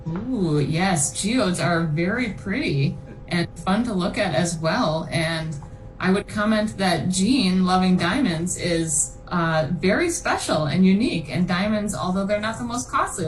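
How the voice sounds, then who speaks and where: A woman's voice: lowish voice, expressive, measured pace, a little hiss or hum, poor audio. A woman hosting a storytime, reading a children's science book aloud and talking with listeners. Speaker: children's storytime host and reader.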